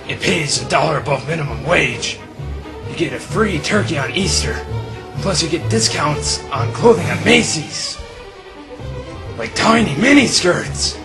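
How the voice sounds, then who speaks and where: Guy doing a brash gravelly anime parody officer, hammy and hot-headed, swoops around, music behind. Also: on the high side. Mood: cocky. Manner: swaggering, drawn-out melodramatic exclamations, sweary. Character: brash male officer obsessed with miniskirts, hammy, hot-tempered. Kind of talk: comedic abridged anime parody dub acting.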